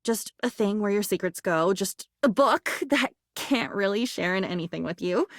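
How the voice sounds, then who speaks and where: A woman's voice: high voice, dry recording, clean studio sound. A woman voicing a sweet, naive and chatty living diary in a video game, rambling with wide-eyed wonder. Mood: hopeful, tender. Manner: breathless rambling, wide-eyed, swings from hushed to gushing.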